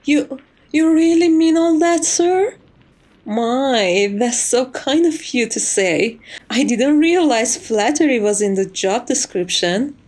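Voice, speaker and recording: A woman playing a polite, devoted maid to a vampire mistress in an ASMR roleplay, formal and composed with quiet menace. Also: sits high, animated, takes it slowly, exaggerated voice. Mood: pleased, devoted.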